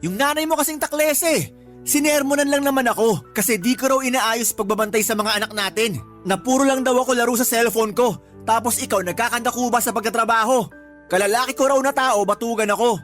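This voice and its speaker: Aggrieved, hot-tempered husband, radio drama. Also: male voice, extremely high pitch, a little fast, fairly bright tone, soft background music. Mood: irritated, complaining. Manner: sarcastic, argumentative. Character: hot-tempered, aggrieved live-in husband ranting about his mother-in-law. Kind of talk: Tagalog radio drama acting.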